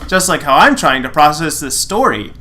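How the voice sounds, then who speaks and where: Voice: mid-range voice, very animated, moderate pace, pushed and bright. A sarcastic, exasperated reader narrating fan fiction dramatically and breaking off for mocking asides.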